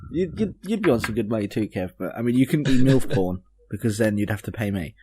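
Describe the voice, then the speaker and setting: Man, podcast co-host, medium pitch, lively intonation, teasing banter. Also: quick pace. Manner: joking, teasing. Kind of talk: casual podcast chat.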